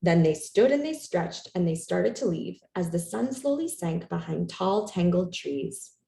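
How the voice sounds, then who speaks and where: A lower-voiced woman reads a children's story aloud with a mellow tone. Her delivery is expressive and animated, made for young listeners, with some creak in the voice. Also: a little slow. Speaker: storytime reader. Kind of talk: expressive read-aloud of a children's picture book. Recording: roomy, echoey room sound.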